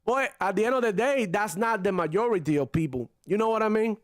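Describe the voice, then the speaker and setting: A man's voice: high-pitched, brisk, no room sound, clean studio sound. A young man from Cuba hosting a casual gaming livestream, chatting loosely with slang and Spanish phrases while reading viewer comments. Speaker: gaming channel host reading chat.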